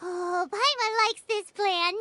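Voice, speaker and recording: A woman voicing a tiny, excitable, childlike female fairy companion in a video game, squeaky and exclamatory. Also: takes it slowly, no echo, full character voice. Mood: excited. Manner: speaks of self in third person, exclamatory. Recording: music behind the voice.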